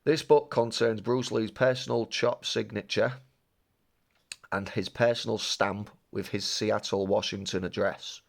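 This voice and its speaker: A man's voice: on the low side, a little slow, creaky. Chatty man with a cold. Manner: chatty, rambling, excitable. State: slightly bunged up with a cold. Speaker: card-opening vlogger. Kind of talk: casual unboxing chat.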